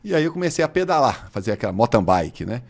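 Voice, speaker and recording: A man's voice: medium pitch, sing-song, fast, gravelly. A man in his fifties with a Brazilian Portuguese accent, a guest chatting casually in an interview and often laughing as he tells stories. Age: 50s.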